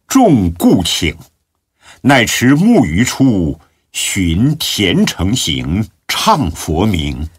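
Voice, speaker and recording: Deep, very slow male narrator, husky. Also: wildly swooping pitch, no echo, studio quality. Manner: measured, dramatic recitation. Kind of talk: solemn scripted narration of classical religious biography.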